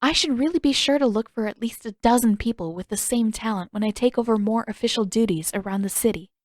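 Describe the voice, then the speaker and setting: A woman's voice: on the high side, fast, dry recording, studio quality, close to an everyday voice. Woman playing a gracious, sweet young princess. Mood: friendly, candid. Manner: polite, gently formal.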